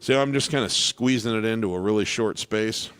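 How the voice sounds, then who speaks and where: Low-voiced man teaching piano casually. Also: fairly quick. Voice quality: baritone. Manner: relaxed, rambling with restarts and fillers. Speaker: piano teacher hosting live Q&A. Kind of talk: casual live-stream teaching.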